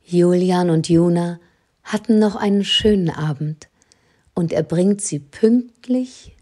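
A woman's voice: on the low side, slow pace, dark tone. A woman reading scripted narration as the narrator of an audio drama.

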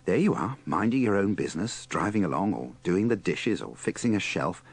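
Deep, husky, wry male presenter. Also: sing-song, fairly quick. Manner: wry, dry asides. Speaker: compilation presenter. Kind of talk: scripted humorous narration.

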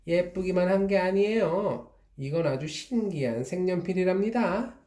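A man's voice: lively intonation, moderate pace, mellow. A jumpy fox shopkeeper, as a man puts him on. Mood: proud. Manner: exclaiming, jumpy, shifts into high squeals. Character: fussy, excitable fox shopkeeper. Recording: echoey room.

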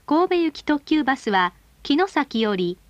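A woman reading scripted bus stop announcements in a polite, formal and measured way. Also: high-pitched, very animated, no echo, muffled recording.

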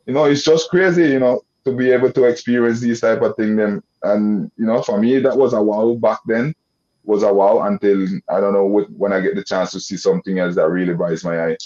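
A young man from Nicaragua speaks English with a Creole accent, his voice dark and mellow. He rambles warmly and restarts his sentences, leaning on "you know". The video call audio sounds dull and lo-fi. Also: on the low side, fairly even intonation, fairly quick. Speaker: guest. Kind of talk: casual video-call interview. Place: indoor room.

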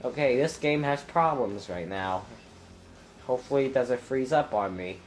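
A young man with a stuffy nose and a clogged throat, commenting casually on his own racing game and thinking aloud with ums and sudden loud exclamations. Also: medium pitch, animated, normal speed, soft background music. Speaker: gameplay commentator. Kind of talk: casual let's-play commentary.

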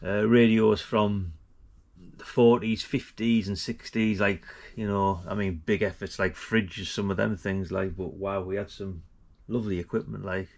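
A man's voice: lowish voice, steady tone, a little slow, vocal fry, darkish tone. A middle-aged man, chatting casually and informally as he hosts a vlog. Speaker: vlog host.

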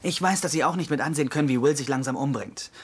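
An actor playing an earnest, insecure young doctor in a German-dubbed TV comedy-drama. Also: male voice, on the high side, quick pace, breathy edge. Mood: earnest, pleading.

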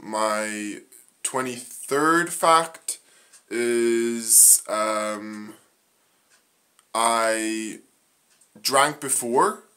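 A young man talks into a phone held at arm's length in a small living room. He moves slowly and haltingly through a list of facts about himself, filling gaps with ums and uhs. His voice sits at a middling pitch. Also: a bit bright. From Northern Ireland. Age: young adult. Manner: halting, frequent ums and uhs, slow and plain. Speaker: vlogger. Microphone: phone camera at arm's length.

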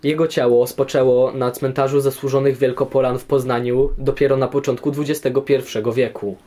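Young male guest, medium pitch, formal scripted history commentary. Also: little pitch movement, fairly quick, dark tone. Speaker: guest speaker in a history audio programme.

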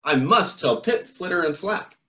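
A man reading aloud and voicing a timid young female fruit bat with a squeaky, eager voice. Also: lively intonation, normal speed, pushed and bright. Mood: delighted. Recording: echoey room.

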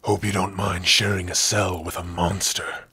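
A man voicing a big, gruff orc prisoner in an ASMR roleplay, brooding and sardonic, with a husky, rough voice. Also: low-pitched, little pitch movement, normal speed, pushed and bright, no echo, full character voice. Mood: menacing, bitter. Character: big gruff male orc prisoner, guarded but lonely. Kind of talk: ASMR roleplay addressed to the listener.

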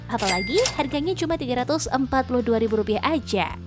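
A woman's voice: fairly high pitch, expressive, fast. A woman reading a scripted promotional product review voice-over in a chatty, persuasive manner. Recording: music bed under studio voice-over. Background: background music.

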